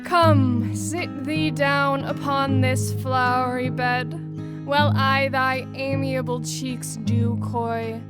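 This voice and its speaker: Actress as doting fairy queen, high voice, leisurely, tender, cooing, Shakespearean audio play, guitar behind. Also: level tone, slow pace. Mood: adoring. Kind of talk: Shakespearean audio drama acting. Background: guitar music.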